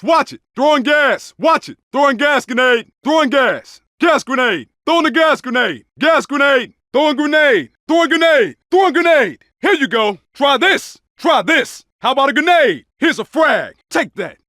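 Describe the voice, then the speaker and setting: An actor playing an undercover police officer in a video game, giving brisk, commanding tactical callouts in a clipped way. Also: male voice, very high voice, sharp tone, no echo, studio quality, heavily acted. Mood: urgent, shouting, then aggressive. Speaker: video game player character voice. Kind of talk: video game combat callouts and radio dispatch reports.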